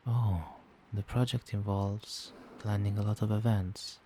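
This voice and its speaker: A man playing a doting, gentle vampire boyfriend in a soft-spoken ASMR roleplay, tender, reassuring and coaxing. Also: fairly low pitch, little pitch movement, unhurried, slight background noise. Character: doting, gentle male vampire boyfriend soothing partner to sleep.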